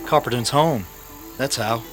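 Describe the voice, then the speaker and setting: An actor playing a bitter, disgruntled captive gambler with an in an old-time radio drama. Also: male voice, medium pitch, measured pace, faint music behind, lightly acted. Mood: sullen. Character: bitter, disgruntled male gambler held prisoner, a father.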